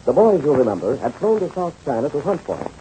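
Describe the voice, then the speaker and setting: A man's voice: fairly high pitch, big pitch swings, quick pace, mellow. A man narrating an adventure serial as an announcer in the dramatic style of 1930s radio. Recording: noisy, muffled old radio transcription.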